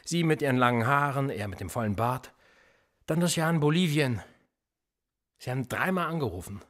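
A man's voice: mid-range voice, expressive, a little fast, a bit bright, natural voice. A man playing a needling police interrogator. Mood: insinuating. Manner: needling, insinuating questions. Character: menacing male police interrogator, probing and mocking. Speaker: police officer. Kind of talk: radio play acting.

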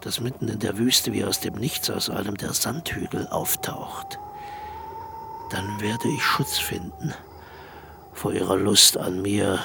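An actor playing a haunted aging dictator in a radio play, brooding and slipping into whispers. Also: male voice, low voice, noisy background, exaggerated voice. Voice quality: gravelly, creaky voice. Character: aging male dictator, haunted, recalling his own death. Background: music.

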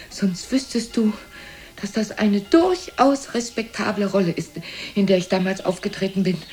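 Casting: proud old diva mother, fairly high voice, theatrical and needling, radio drama. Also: darkish tone. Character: proud, reproachful old mother, faded singer and actress. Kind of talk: German radio play acting.

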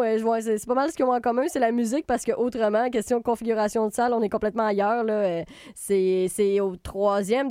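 A woman's voice: fairly high pitch, darkish tone, no room sound, clean studio sound. A woman with a Québécois accent hosting a radio show, chatting loosely about a festival with lots of fillers and restarts. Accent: Québécois French. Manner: rambling, chatty, many fillers and self-corrections. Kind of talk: casual spoken review. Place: radio studio.

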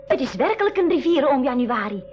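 A woman's voice: high voice, big pitch swings, brisk, a little mellow, soft background music. An actress playing a wary, questioning young girl on an adventure in a school radio play. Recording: dull, noisy 1980s radio drama recording.

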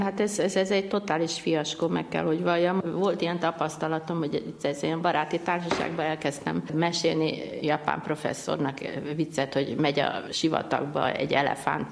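A woman's voice: low-pitched, creaky. A middle-aged woman from Hungary, a linguist being interviewed on the radio, explaining at length with many hesitations and restarts. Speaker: linguist guest.

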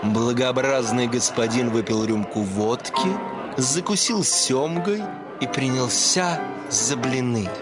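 A man's voice: mid-range voice, slow. A man narrating a literary short story for radio in a scripted, storytelling style. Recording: music behind the voice.

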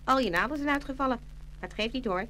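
Little storybook mouse actress, high-pitched, cheeky, talkative, faint noise on the recording. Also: female voice, fast talker, bright, forceful tone. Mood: teasing. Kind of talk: children's fairy tale audio drama.

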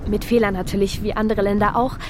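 A woman's voice: medium pitch, flat delivery, fast talker, close to an everyday voice. A woman playing a proud, appeasing national booster, declaiming stage text. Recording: noisy stage recording with clicks.

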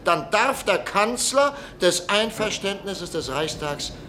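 An actor playing an agitated middle-aged professor and politician in a radio drama, in a declamatory manner. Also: male voice, high voice, lively intonation, slow, bright, forceful tone, slight background noise, full character voice. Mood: declaiming, tense.

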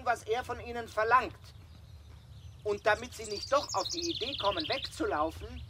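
High-pitched, agitated excitable man. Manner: agitated, insistent telling. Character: excitable man gripped by a grim crime story. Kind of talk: radio drama acting. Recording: clear outdoor noise with birdsong.

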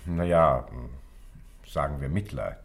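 An actor in a German radio crime drama playing a reserved family doctor with a measured, hesitant manner. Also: male voice, a bass voice, vocal fry, slight background noise, exaggerated voice.

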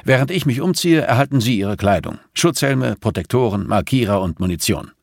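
A man acts out a rich, muscular patriarch for an audiobook. He is jovial and patronizing, speaking in a gravelly, commanding voice. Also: mid-range voice, lively intonation, a little fast, no echo, clean studio sound, pitched above the performer's own voice. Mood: eager, patronizing. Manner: commanding, expansive.

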